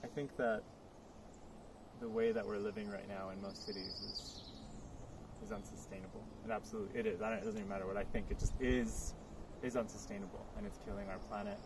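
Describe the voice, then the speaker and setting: A man's voice: medium pitch, sing-song, fast, background noise. A man answering interview questions outdoors in an earnest, reflective way. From Poland. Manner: reflective, earnest, thinks aloud. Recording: crickets clearly audible outdoors.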